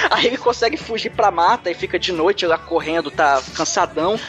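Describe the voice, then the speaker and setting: A man's voice: high-pitched, animated, normal speed, fairly bright tone, soft background music. A man retelling a film's plot in a casual chat, with mock disbelief and frequent laughter. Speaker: podcast co-host. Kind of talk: casual comedic movie recap.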